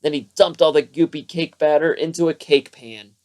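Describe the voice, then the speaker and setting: A narrator reading a children's bedtime story aloud with expressive, emphatic delivery. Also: medium pitch, normal speed.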